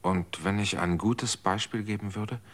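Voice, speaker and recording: A man's voice: on the low side, measured pace. An actor in a radio drama playing a guilt-ridden man who pleads and accuses. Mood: anguished, accusing.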